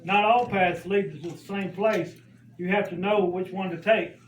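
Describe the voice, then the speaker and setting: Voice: mid-range voice, steady tone, moderate pace, natural voice. A sensible puppet guide voiced by a performer in a children's church show. The delivery is patient and instructive. It sounds roomy and distant, with faint background noise. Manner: instructive, questioning.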